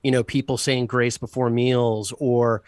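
A man's voice: medium pitch, flat delivery, darkish tone, dry recording, clean studio sound. Thoughtful man telling his life story. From United States. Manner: thoughtful, unhurried, with frequent fillers and restarts. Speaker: interview guest, deacon. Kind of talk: reflective personal storytelling.

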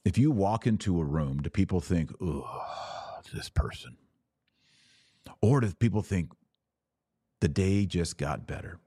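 A man with a very low, relaxed voice speaks on a close, dry microphone. He hosts an informal livestream, giving earnest advice. He urges listeners along and poses questions to answer himself. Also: measured pace, long pauses, husky, no echo. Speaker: podcast host answering live viewers.

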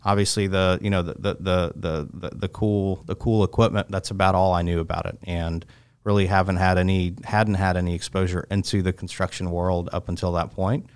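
A man in his thirties from Russia, a guest in an interview, explaining things at length in a relaxed, conversational way. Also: low-pitched, fairly quick, smooth, dry recording.

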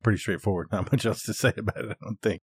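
A man's voice: fairly low pitch, steady tone, racing, breathy edge, no echo, studio quality. A man co-hosting a podcast, speaking casually in a plain, agreeable way. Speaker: podcast co-host. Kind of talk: casual conversational podcast discussion.

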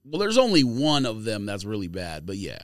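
An animated man talks casually as a podcast panelist, with pitch that moves around freely. He is blunt, argumentative and exasperated, and the recording is close and dry. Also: a little fast, no echo. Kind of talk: casual sneaker debate banter.

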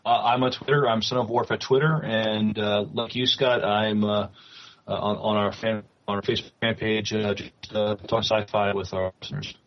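A man co-hosting a podcast, chatting casually in a halting way. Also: on the low side, fairly even intonation, lo-fi sound. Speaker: podcast co-host. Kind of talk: casual chat.

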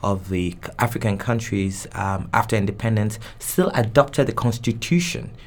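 A man's voice: fairly low pitch, moderate pace. A man whose first language is Yoruba, answering interview questions thoughtfully with frequent restarts and repeated words. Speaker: guest expert.

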